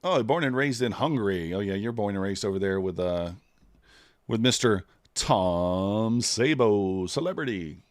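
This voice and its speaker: A man's voice: lowish voice, expressive, measured pace, no room sound, clean studio sound. A man streaming live, chatting casually and thinking aloud with many fillers as he trades. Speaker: streamer trading futures live.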